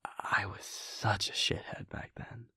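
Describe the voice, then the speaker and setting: A man playing an affectionate, wry vampire prince boyfriend in an intimate roleplay audio, talking in a casual, hesitant, soft-spoken way. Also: takes it slowly, dry recording, in a whisper. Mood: rueful. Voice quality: low, creaky. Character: playful male vampire prince, affectionate boyfriend, wryly reflective. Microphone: close, dry studio-style microphone.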